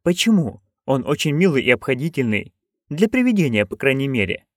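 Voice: medium pitch, big pitch swings, a little fast, no room sound, studio quality. A storyteller voicing an earnest, prim young witch woman who speaks properly and insistently.

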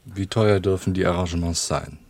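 Gravelly, shrewd male fixer. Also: sits low, normal speed, a little mellow, no echo. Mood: businesslike. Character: shrewd, courteous male underworld fixer in Brussels. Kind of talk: German radio drama dialogue.

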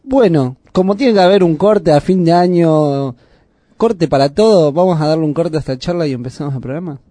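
A man's voice: fairly high pitch, fairly quick, mellow, no echo. An Argentine man hosting a radio show, chatting casually at a studio microphone. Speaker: radio host.

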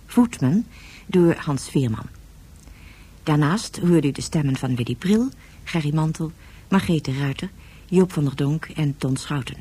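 A woman's voice: low-pitched, animated, a little slow, mellow, breathy edge. A woman announcer reading scripted closing credits for a radio drama series.

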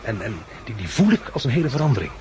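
Over clear background noise from an old radio recording comes a man acting a world-weary male survivor with a Netherlandic Dutch accent. His medium-pitched voice is articulate and wry, philosophical in the careful manner of 1960s radio drama. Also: very animated, fast, muffled recording, lightly acted. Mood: reflective.

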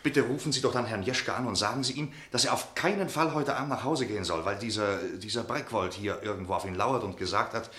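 A man acting an anxious young man in a radio drama, recorded in a reverberant room. His voice is fairly low, his delivery urgent, halting and polite as he pleads. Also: a bit bright, close to an everyday voice. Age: young adult. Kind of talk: German radio play acting.

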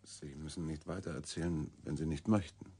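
An actor playing a sceptical, quietly persistent investigator in a German radio drama, with a reserved, questioning delivery. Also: male voice, extremely low pitch, fairly even intonation, fairly quick, slightly rough.

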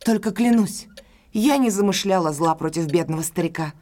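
A woman's voice: on the low side, moderate pace, dark tone, lightly acted. An actress playing a proud, wronged and resolute woman in an audio drama, speaking earnestly, with emotion and formality. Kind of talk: audio drama acting, classic detective story.

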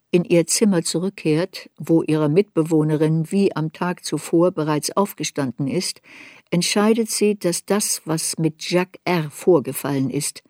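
A woman's voice: sits low, a little mellow, dry recording, studio quality. A woman playing a reflective narrator who looks back on a girl's story in a literary radio play.

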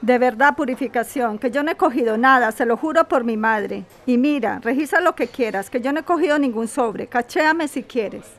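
A woman's voice: a little high, normal speed, few pauses, natural voice. A woman acting an anxious, respectful bar worker in an audio drama, earnest and pleading. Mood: distressed, pleading.

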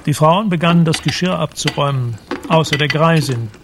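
Creaky, higher-pitched male narrator. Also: fairly quick, background noise. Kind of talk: scripted literary narration in a radio drama.

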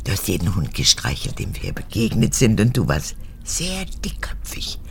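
An actress playing an imperious, cunning old mother in a radio drama, capricious and theatrical, moving between whispers and sharp words. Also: rumbling low, wildly swooping pitch, moderate pace, vocal fry, a little hiss or hum, full character voice. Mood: reminiscing. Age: older. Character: imperious, cunning old mother, forgetful former Nazi fanatic. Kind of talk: German radio drama acting.

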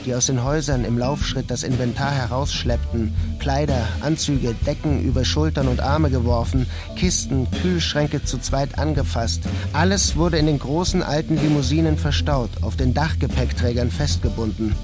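Measured male narrator over music. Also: mid-range voice. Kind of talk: literary scripted narration for a radio play.

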